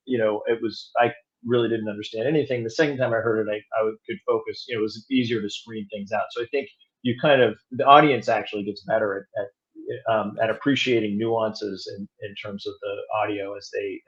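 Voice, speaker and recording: A man with a fairly low voice speaks as a guest in a casual interview. He explains things thoughtfully, often restarting and feeling for words. The audio is a bit dull and lo-fi. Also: fairly even intonation. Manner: halting, thoughtful explaining with frequent restarts and "you know". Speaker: audio drama producer and director, interview guest.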